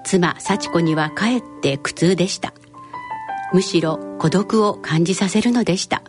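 A woman's voice: fairly low pitch, moderate pace. A woman narrating a scripted radio drama. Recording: clear music behind the voice.

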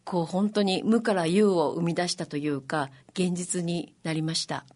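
A woman's voice: on the low side, fairly even intonation, moderate pace, a little mellow. A woman guest recounting in polite, conversational talk. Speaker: amateur group member, programme guest. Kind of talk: conversational anecdote.

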